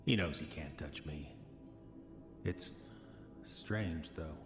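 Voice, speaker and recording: An actor playing an arrogant, dissolute diarist of the 1910s, speaking formal old-fashioned entries in a pompous manner for a horror audio drama. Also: male voice, a bass voice, slow, exaggerated voice. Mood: uneasy. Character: arrogant, dissolute 1910s male diarist, self-satisfied, increasingly haunted. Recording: echoey long reverb, music underneath. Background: music.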